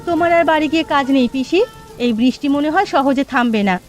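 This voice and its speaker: A woman's voice: sits high, quick pace, lightly acted. A woman voicing a caring, practical village mother in a cartoon fairy tale. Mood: caring, brisk.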